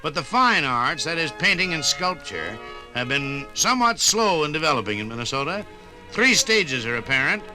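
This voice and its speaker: A man narrating a scripted 1950s radio documentary in a measured, formal announcer style. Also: a little high, very animated, pushed and bright. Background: music.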